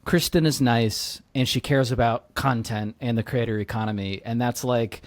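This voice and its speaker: A man's voice: mid-range voice, fairly even intonation, moderate pace, no room sound. Laid-back man, dry close sound. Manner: laid-back, uses fillers like "like" and "kinda". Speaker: podcast co-host and founder. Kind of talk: casual chat.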